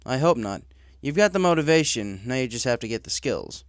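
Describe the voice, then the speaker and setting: A man's voice: medium pitch, big pitch swings, a little fast, no room sound, studio quality. A man voicing an easygoing, businesslike adult male pegasus boss in a story, casual, frank and matter-of-fact. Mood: instructive.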